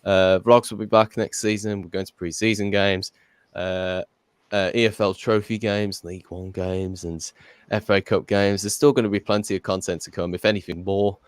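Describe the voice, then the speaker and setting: A man's voice: sits low, flat delivery, moderate pace, clear, resonant tone, no room sound, studio quality. A young man hosting a casual podcast, rambling with lots of fillers. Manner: rambling, filler-heavy, chatty. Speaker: podcast host.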